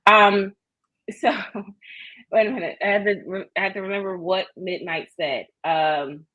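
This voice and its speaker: A woman hosting a casual live chat, chatty and quick to laugh. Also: mid-range voice, fairly even intonation, a bit bright, highs cut off.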